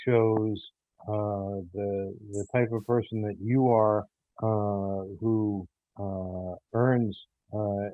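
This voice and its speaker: Over a narrow, phone-like connection, a lower-voiced man talks in a casual interview. He is halting and hesitant, breaking his phrases up with many ums. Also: dry recording. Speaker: audio theater producer and guest. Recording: muffled, phone-like narrow sound.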